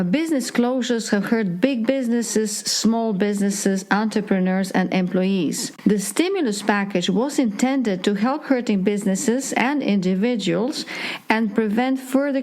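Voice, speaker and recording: A woman co-hosting a public affairs interview, reading a formal briefing and questions in a deliberate way. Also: medium pitch, a little mellow. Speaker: co-host of a public affairs show.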